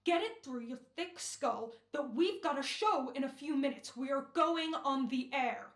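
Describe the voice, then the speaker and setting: A woman's voice: high voice, animated, unhurried, reverberant. A woman playing an impatient, sarcastic male radio director in an old-time radio play, talking in brusque slang. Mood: exasperated.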